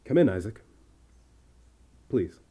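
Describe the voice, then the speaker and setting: An actor playing a courteous, quietly commanding university headmaster in an audio drama, with a polished, genial manner. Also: male voice, on the low side, sing-song, slow pace, noisy background. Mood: calm, apologetic. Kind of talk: naturalistic audio drama acting.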